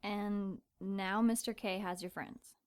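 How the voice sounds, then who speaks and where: A woman's voice: mid-range voice, steady tone, slow pace, a little mellow, clear, resonant tone, close to an everyday voice. A woman acting a plucky but doubtful young churchgoer in an audio drama, questioning and game. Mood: puzzled, doubtful.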